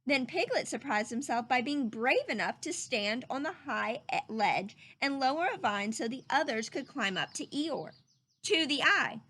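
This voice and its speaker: A woman reading a story aloud to children like a teacher, with expressive, dramatic emphasis. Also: high voice, normal speed, slightly rough.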